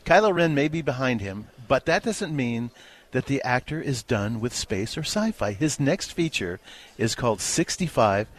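A man with a mid-pitched, very expressive voice co-hosts a radio show. He chats casually through movie news with playful, wry delivery. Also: normal speed. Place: radio studio.

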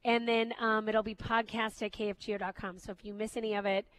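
A woman's voice: medium pitch, flat delivery, quick pace, fairly bright tone. A woman co-hosting a radio show, chatting casually with a teasing, bantering manner.

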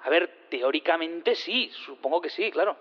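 Awkward, higher-voiced male scientist. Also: muffled recording. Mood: awkward, sheepish. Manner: halting, roundabout, deadpan. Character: awkward, literal-minded male scientist on the witness stand.

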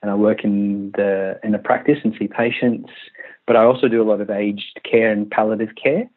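A man in his thirties with an Australian accent, a country doctor answering interview questions in a hesitant, halting way with many fillers. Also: fairly low pitch, fairly even intonation. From Malaysia, raised in Western Australia. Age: 30s. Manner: hesitant, many restarts and fillers, laughs easily. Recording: tinny phone line.

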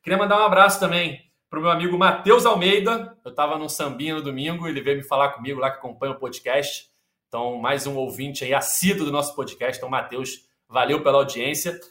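Male podcast host, slightly high, casual and rambling, echoey room. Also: a little fast. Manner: lively, many fillers and restarts. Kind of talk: sports podcast chat.

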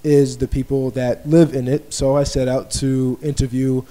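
A man from Baltimore hosting a live podcast interview in front of a small audience, easygoing and relaxed. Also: mid-range voice, normal speed. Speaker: podcast host.

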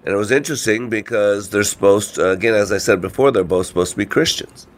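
A man's voice: fairly low pitch, fast. Congested man hosting a motivational radio show. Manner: asks rhetorical questions, frequent "you know" and "right?". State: congested with a cold or allergies. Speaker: radio show host and trainer. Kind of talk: informal motivational talk.

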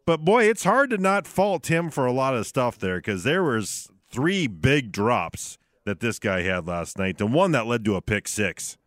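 A man's voice: mid-range voice, sing-song, a little slow, few pauses, fairly bright tone, dry recording. A man co-hosting a sports radio show from a studio, talking casually and offering opinions on games and players.

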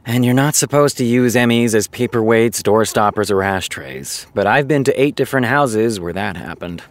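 An actor playing a weary male TV show creator, with a deadpan, sardonic, reluctant manner in a naturalistic audio drama. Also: mid-range voice, normal speed, dry recording, close to an everyday voice. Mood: dry, weary.

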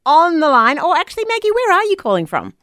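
A woman co-hosting a breakfast radio show, bubbly and quick to laugh. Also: extremely high pitch, huge pitch swings, a bit bright, no echo, clean studio sound. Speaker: radio co-host. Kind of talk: casual radio banter. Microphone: studio microphone.

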